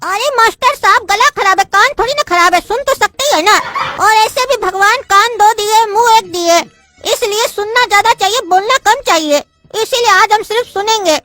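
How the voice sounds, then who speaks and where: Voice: extremely high pitch, normal speed, pushed and bright, no room sound, clean studio sound, faint music behind. A voice actor playing a cheeky, lovestruck young male student who keeps talking his way out of trouble. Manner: cheeky, talks his way out of things.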